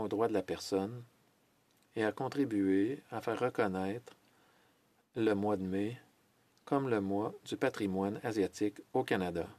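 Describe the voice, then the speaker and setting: Male interpreter, low register, dry mic; measured formal delivery with hesitations and self-corrections. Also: darkish tone, no echo. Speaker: French interpreter of a Senate speech. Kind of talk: simultaneous interpretation of a formal speech.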